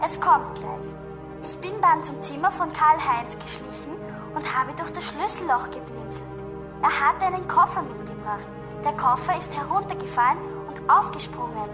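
An actress playing an excitable, curious young girl detective in a German children's radio play, telling things earnestly. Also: high voice, moderate pace, over music. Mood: agitated. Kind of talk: German children's audio drama acting.

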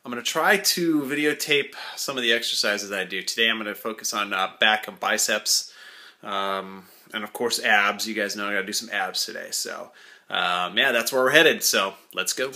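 A man's voice: fairly low pitch, moderate pace, dry recording. A man in his thirties talking casually about his gym workout, with plenty of ums and uhs. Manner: relaxed, many ums and uhs. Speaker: fitness vlogger.

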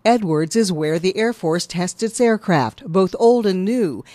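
A woman's voice: on the low side, animated, a little slow, mellow, dry recording. An American woman reporter reading scripted narration for a news feature.

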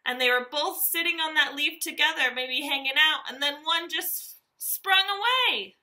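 A woman reads aloud to young children in a teacher's animated manner. Her voice is fairly high and strikingly bright. She takes her time, enunciating each point deliberately for small listeners. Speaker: elementary school teacher. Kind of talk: children's nonfiction read-aloud lesson.

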